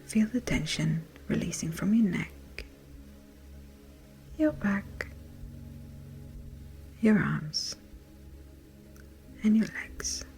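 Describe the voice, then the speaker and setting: A woman with a breathy, whispery voice gently and soothingly guides a meditation in a measured way. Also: medium pitch. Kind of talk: slow guided meditation narration. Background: music.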